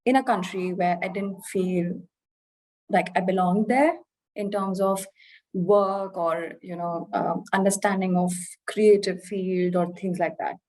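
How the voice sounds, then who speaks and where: A woman in her twenties from India, with an Indian English accent softened by life in the UK, speaking earnestly and reflectively as an interview guest, with fillers and restarts. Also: fairly low pitch, steady tone, a little slow, low-quality recording. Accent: Indian English, softened by years in the UK. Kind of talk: candid conversational interview.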